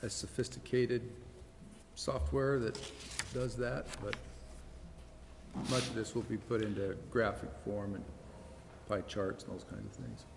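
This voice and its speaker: A man's voice: fairly low pitch, little pitch movement, a little slow, vocal fry. An American man, a city official, giving an unscripted explanation at a public meeting. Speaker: city official briefing the council. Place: indoor council chamber. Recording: reverberant room with faint noise.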